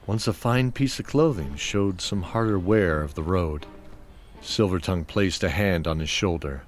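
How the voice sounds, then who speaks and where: A man's voice: sits low, normal speed. A man giving scripted narration of a fantasy story as its narrator.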